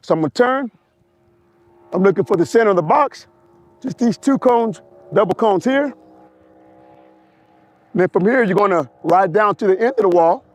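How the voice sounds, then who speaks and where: A hoarse man with a high voice talks riders through a slow-speed drill step by step, repeating his cues. He is outdoors on a wireless mic. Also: expressive. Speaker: motorcycle riding instructor. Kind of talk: outdoor riding demonstration.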